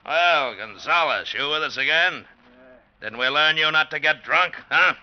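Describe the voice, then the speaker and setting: An actor playing a brutish, bullying road-gang boss in a 1940s radio drama, barking mocking orders in rough slang. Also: male voice, a little high, animated, unhurried. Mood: jeering. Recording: dull, hissy vintage radio recording.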